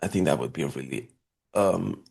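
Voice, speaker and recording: A young man from Spain with a Spanish accent, chatting casually and excitably as he comments on live trades for his stream viewers. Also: rumbling low, moderate pace, gravelly, breathy edge.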